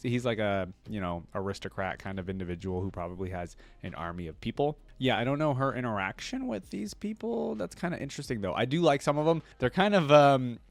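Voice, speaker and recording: A man hosting a reaction video, chatting casually and thinking out loud with frequent restarts. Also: fairly low pitch. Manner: rambling, thinks aloud with restarts.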